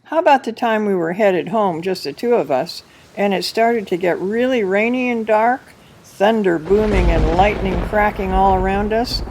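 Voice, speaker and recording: A woman voicing an excitable young boy pelican in an expressive read-aloud of a children's picture book. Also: medium pitch, normal speed, slight background noise, lightly acted, rough recording. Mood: fond, excited. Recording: music behind the voice.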